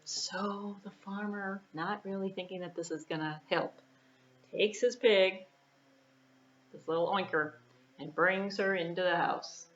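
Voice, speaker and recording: A woman's voice: mid-range voice, steady tone, a little slow, a bit bright, muffled recording, slight background noise. A woman storyteller narrating a children's puppet story in an informal, conversational way with asides to her listeners.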